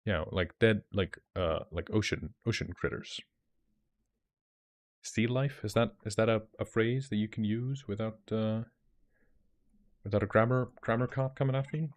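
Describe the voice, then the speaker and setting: A man's voice: lowish voice, normal speed, long pauses. A young man with an Icelandic accent, chatting casually with viewers on a livestream and answering their comments with rambling restarts. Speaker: streamer and dungeon master.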